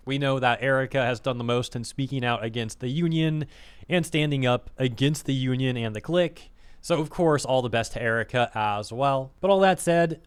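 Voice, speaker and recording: Man, casual commentary rant, scornful, filler-heavy, slightly hoarse, mid-pitched, smooth tone. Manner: scornful, filler-heavy, talks fast in bursts. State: slightly hoarse, losing his voice. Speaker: vtuber commentator.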